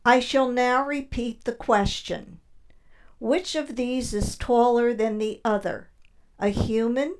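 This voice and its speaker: A woman's voice: on the high side, takes it slowly, poor audio. A woman in community radio theater playing a clinical scientist, explaining precisely and formally. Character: clinical female scientist conducting a formal test.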